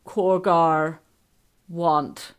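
A woman voicing a big, simple-minded male warrior in a fantasy story, speaking in halting, blunt, broken phrases. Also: fairly low pitch, steady tone, smooth. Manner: halting broken speech, few blunt words. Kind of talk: fantasy audiobook character acting.

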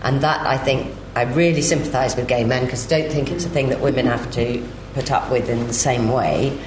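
A woman's voice: a bass voice, a little fast. A woman giving her opinions conversationally as a panellist in a live discussion. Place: live festival panel in echoey room. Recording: echoey noisy room.

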